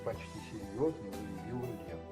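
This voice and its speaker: A man narrating a radio play as scripted, measured storytelling. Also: fairly low pitch, roomy sound, far from the mic, loud music behind the voice.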